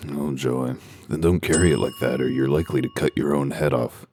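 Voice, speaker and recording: Man acting a gruff, gravel-voiced, extremely deep tough guy. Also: fairly even intonation, brisk, darkish tone, slight background noise. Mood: dry sarcasm. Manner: dry, sarcastic, commanding. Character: gruff, sardonic, tough werewolf detective. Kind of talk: comic dub acting.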